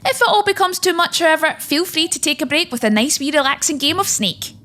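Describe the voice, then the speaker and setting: A woman presents a scripted countdown in a high-pitched voice. She reads as a narrator guiding listeners through each entry. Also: lively intonation, fast talker, sharp tone. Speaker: narrator and presenter of a video game list.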